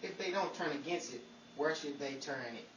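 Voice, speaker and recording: A man's voice: on the high side, normal speed, fairly bright tone, recorded from a distance, lightly acted. A man playing an affable, dryly witty husband who works in radio, in an old-time radio comedy. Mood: chummy, dry. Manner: wry, chummy. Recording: echoey, noisy room, off-mic.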